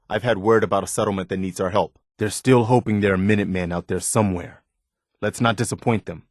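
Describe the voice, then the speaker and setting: A man's voice: lowish voice, dry recording, clean studio sound, natural voice. A man voicing an earnest, watchful, plain-spoken militia soldier companion in a post-war video game. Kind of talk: scripted game companion dialogue.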